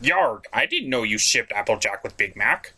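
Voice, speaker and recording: Man doing a deep, gruff, teasing puppet pal, pitch all over the place. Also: big pitch swings, normal speed, sharp tone, a little hiss or hum, heavily acted.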